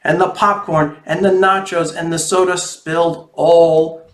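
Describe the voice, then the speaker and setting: A man's voice: fairly high pitch, flat delivery, unhurried. A man who is a children's author, reading a storybook expressively and talking directly to young viewers. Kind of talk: animated storybook read-aloud and friendly address to children. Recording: roomy, echoey sound.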